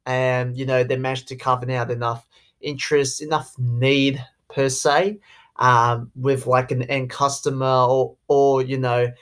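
A young Australian man in his twenties talks casually in a podcast monologue, at an ordinary middle pitch. He rambles, doubling back often and padding his sentences with "you know". It sounds like an easy, unscripted chat. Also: little pitch movement, measured pace, fairly bright tone, clean tone. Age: 20s. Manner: rambling, heavy use of "you know" fillers. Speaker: podcast host, sales rep.

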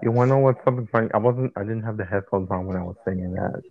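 A man co-hosting a casual chat podcast, talking loud in a flat monotone. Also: lowish voice, very fast. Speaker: podcast co-host. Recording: tinny phone-like line.

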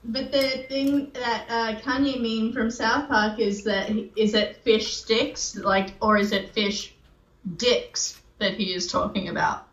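A woman's voice: on the high side, steady tone, slow pace, a bit bright, lo-fi sound. Laid-back self-deprecating woman, echoey room. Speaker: podcast co-host. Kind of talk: casual chat. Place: at home.

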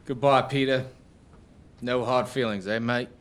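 A man's voice: medium pitch, fairly even intonation, measured pace, natural voice. A man playing a gallant, confident young lover, earnest and self-assured, in a gothic comedy audio drama. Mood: relieved, tender.

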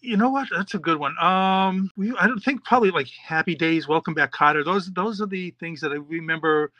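A man's voice: high voice, brisk, sharp tone, no room sound, muffled recording. A middle-aged man from New York, a podcast host and children's author, answering interview questions in a rambling, chatty way with many fillers and restarts.

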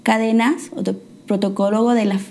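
Soft-spoken young woman telling her story. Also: fairly high pitch, fairly even intonation, moderate pace. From United States. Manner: hesitant, many fillers and restarts. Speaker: guest. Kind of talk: testimony interview.

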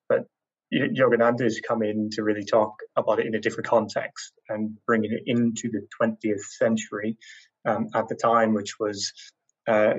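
An Irish man talks through ideas in a husky, somewhat low voice, pausing often with fillers as he works out his thoughts. The sound is dull and lo-fi. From Ireland. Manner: thoughtful, hesitant with many fillers. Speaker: guest. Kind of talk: casual discussion.

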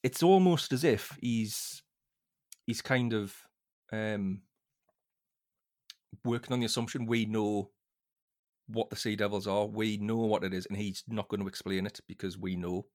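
A man's voice: lowish voice, measured pace, long pauses, darkish tone. A man speaking as a podcast guest, casually discussing a book in an informal, explanatory way.

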